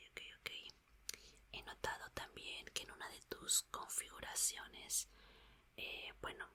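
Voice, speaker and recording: Slow whispering woman, soothing ASMR roleplay. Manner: soothing, slow, repeats words softly. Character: gentle, reassuring female scientist repairing an android.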